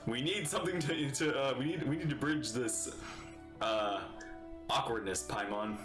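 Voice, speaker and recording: A man giving excitable, playful, casual reaction commentary as a game streamer. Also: mid-range voice, normal speed. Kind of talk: casual gameplay reaction commentary, reading lines aloud. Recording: roomy echo. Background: game music.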